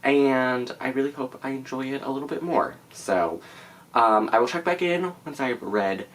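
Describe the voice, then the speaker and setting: A man's voice: medium pitch, normal speed, fairly bright tone. A teenage boy with a stuffy nose from a cold, chatting casually to camera about his reading plans with many fillers. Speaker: book vlogger.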